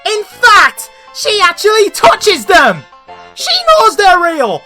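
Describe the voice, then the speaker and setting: A woman's voice: very high-pitched, very animated, takes it slowly, bright, forceful tone, faint music behind. A woman hosting a scripted comedic review, blunt and sweary, with an exasperated, ranting manner. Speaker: host of a cartoon review show.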